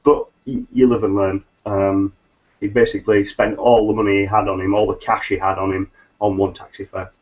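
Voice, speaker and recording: A middle-aged man, nasal and a little hoarse from hay fever, hosting a solo business webcast in a rambling way with many ums and restarts. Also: fairly low pitch, little pitch movement, fairly quick. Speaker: business consultant host. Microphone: webcam mic on a video call. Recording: slightly dull lo-fi webcam audio.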